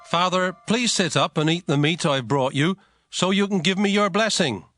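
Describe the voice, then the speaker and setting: An actor playing a hot-tempered, wronged firstborn son, emotional and pleading, in a dramatized scripture story. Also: male voice, high voice, moderate pace, fairly bright tone, faint music behind. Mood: eager. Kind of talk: dramatized scripture reading with music.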